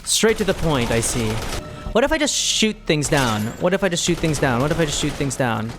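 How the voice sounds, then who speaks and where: A man's voice: fairly high pitch, sing-song, brisk, music in the background. A man giving casual, playful commentary as he plays a video game, often repeating his phrases. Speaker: gaming streamer playing and reacting.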